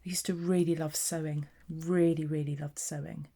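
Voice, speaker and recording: A woman's voice: low-pitched, flat delivery, normal speed, creaky, warm tone, husky. A woman from England, chatting casually while she demonstrates card making and narrates her own steps. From southeast England. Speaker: papercraft demonstrator. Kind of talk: casual craft tutorial chat.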